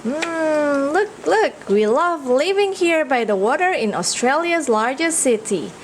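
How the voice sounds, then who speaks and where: A woman's voice: sits high, lively intonation, a little slow, a little hiss or hum. A woman with an Indonesian accent reads a children's picture book aloud expressively, engaging young listeners with questions and exclamations. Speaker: children's storyteller.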